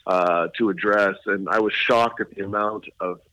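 A middle-aged man from Hawaii and Southern California, a state attorney general speaking as a radio interview guest, halting with many fillers and restarts. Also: on the low side, steady tone, sharp tone, dry recording, rough recording.

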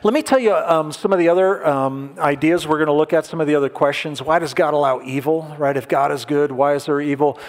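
A pastor giving a conversational sermon, asking the audience questions and making light jokes. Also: male voice, fairly high pitch, a little fast, hardly any pauses. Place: large hall with an audience. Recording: long hall reverb.

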